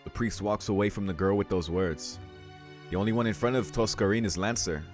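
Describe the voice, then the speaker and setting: A male let's-play host reads visual novel narration aloud. His voice is quite low, and soft music plays behind it. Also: a little fast.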